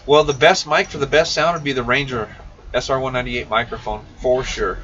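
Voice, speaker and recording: A man's voice: medium pitch, steady tone, brisk, a bit bright. A muffled livestream recording picks up a man a little way from the mic, with faint noise around him. He hosts casually, answering viewers directly in a folksy, slangy manner. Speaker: host of a CB radio shop live stream. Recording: muffled, noisy, distant-mic livestream audio.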